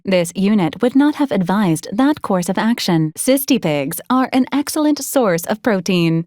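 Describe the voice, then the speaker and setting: A woman playing a polite, literal-minded robot companion in a video game, speaking in a formal, measured, matter-of-fact deadpan. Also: mid-range voice, no echo, studio quality, close to an everyday voice. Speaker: robot assistant advising a commander. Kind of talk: scripted video game character lines.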